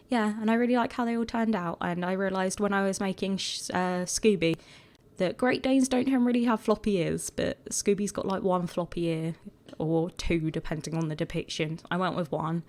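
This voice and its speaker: A woman with a croaky voice, chatting casually and rambling a bit as she talks over her video. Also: on the low side, fairly even intonation, normal speed, hardly any pauses, darkish tone. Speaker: gaming video creator.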